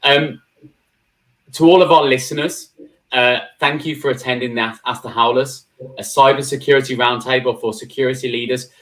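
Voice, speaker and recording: A man's voice: medium pitch, fairly even intonation, moderate pace, fairly bright tone, poor audio. Chatty man hosting a live stream. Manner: chatty, many fillers and restarts. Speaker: host.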